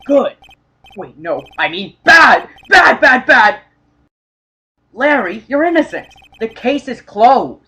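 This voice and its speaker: A man's voice: very high voice, very animated, takes it slowly, pushed and bright, full character voice. A man playing an earnest, exasperated young rookie defense lawyer in an amateur video game fandub. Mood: flustered, exasperated.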